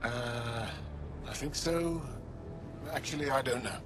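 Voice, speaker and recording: An actor playing a matter-of-fact alien lookout soldier in a video game, with a blunt, dry manner. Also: male voice, medium pitch, takes it slowly, over music, close to an everyday voice. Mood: casual. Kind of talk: dramatic game dialogue. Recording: clear noisy outdoor ambience behind.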